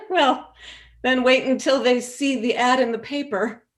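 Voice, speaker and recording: A woman playing a cheerful, gently defiant old lady in a staged play reading over a video call. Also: high-pitched. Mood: cheerfully stubborn. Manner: unhurried, expressive, gently chuckling.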